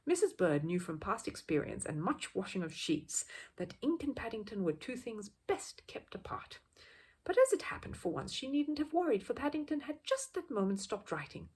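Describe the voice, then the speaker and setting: A woman reading a children's story aloud as an expressive, animated narrator. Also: fairly high pitch, normal speed, breathy edge.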